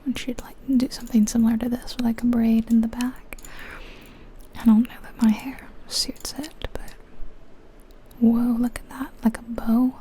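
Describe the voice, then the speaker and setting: A woman whispering breathily in ASMR style, giving gentle, chatty commentary on a game as she goes. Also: a little high, little pitch movement, moderate pace, a little hiss or hum, poor audio. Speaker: ASMR video host.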